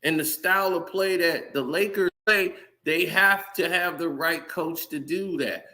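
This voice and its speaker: Heated male sports commentator addressing viewers, bright and forceful. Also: fairly high pitch, a little slow. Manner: heated, ranting, talks straight to viewers. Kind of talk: casual sports commentary rant.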